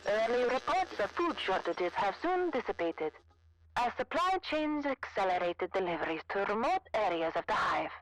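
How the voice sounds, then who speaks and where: An actress playing a propaganda newsreader in an audio drama, reading formal scripted news. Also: female voice, medium pitch, big pitch swings, moderate pace, lightly acted, rough recording. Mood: formal, polished. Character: female propaganda newsreader heard on a recorded broadcast.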